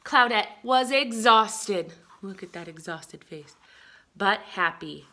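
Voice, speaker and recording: A woman reading a picture book aloud to young children in an expressive way, adding chatty explanations and questions for her listeners. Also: mid-range voice, takes it slowly. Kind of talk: animated read-aloud with chatty asides and questions.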